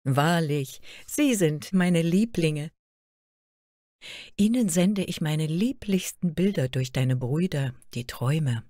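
A woman's voice: sits low, animated, takes it slowly, warm tone, dry recording, clean studio sound, heavily acted. A woman voicing a regal, motherly queen of fantasy, stately and soothing. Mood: tender.

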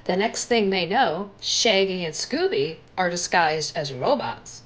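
An older woman reading a children's picture book aloud in an expressive, dramatic way. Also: lowish voice, unhurried.

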